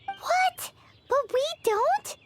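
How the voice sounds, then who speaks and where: A woman's voice: extremely high pitch, animated, drawn-out words, over loud music, heavily acted. A woman voicing a tiny, chirpy, childlike companion creature in a video game, in a noisy clip. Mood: surprised.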